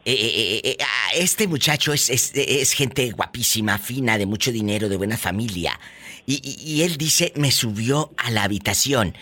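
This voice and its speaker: A man with a Mexican accent hosting a call-in radio show, teasing callers and laughing loudly. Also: mid-range voice, normal speed. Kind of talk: playful radio call-in banter.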